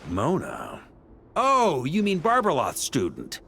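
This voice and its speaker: A man's voice: on the high side, huge pitch swings, slow, vocal fry, sharp tone, a little hiss or hum, heavily acted. A man voicing an easygoing, determined adventurer in a video game, talking in a casual, frank way.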